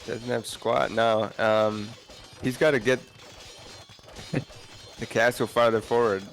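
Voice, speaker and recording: A man's voice: mid-range voice, fairly even intonation, slow. A man giving casual, analytical live commentary on a video game match as co-caster. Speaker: esports co-commentator.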